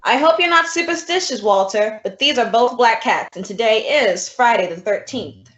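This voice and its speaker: A woman's voice: on the high side, animated, moderate pace, fairly bright tone. Woman playing a wry schoolteacher in an echoey room. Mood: dry, questioning. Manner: dry, sardonic. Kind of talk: old-time radio comedy acting. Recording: echoey room, dull audio.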